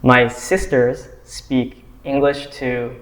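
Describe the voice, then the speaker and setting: A man's voice: medium pitch, little pitch movement, fairly bright tone. Thoughtful young man, echoey room. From United States. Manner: thoughtful, measured explaining. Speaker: discussion participant. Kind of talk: casual discussion. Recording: roomy echo.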